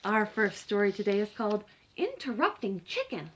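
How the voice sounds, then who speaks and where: A woman hosting a story time, reading aloud to young children and addressing them directly in an animated way. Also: medium pitch, moderate pace, highs cut off, slight background noise. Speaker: library story time host.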